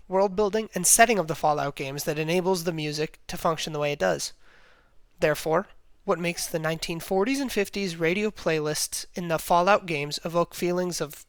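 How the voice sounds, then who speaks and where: A man's voice: sits high, dry recording. A man reading a scripted analytical video essay in a formal, academic manner.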